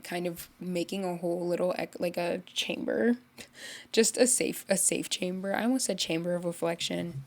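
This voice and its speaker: Congested young woman rambling casually. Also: fairly low pitch. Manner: rambling, heavy use of "like", animated storytelling. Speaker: podcast host. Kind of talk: casual solo chat.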